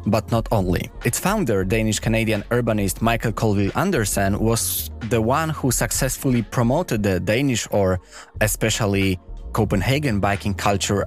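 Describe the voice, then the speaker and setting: A man hosting a podcast, speaking English as a with as his first, introducing and asking questions in earnest, slightly formal phrasing with hesitations. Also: medium pitch. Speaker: podcast host. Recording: faint music underneath.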